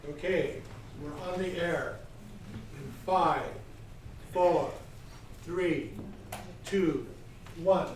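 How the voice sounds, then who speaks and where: A higher-voiced man announces with extremely slow, spaced delivery. He is distant in a very echoey, noisy hall. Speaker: studio announcer testing mic and counting down.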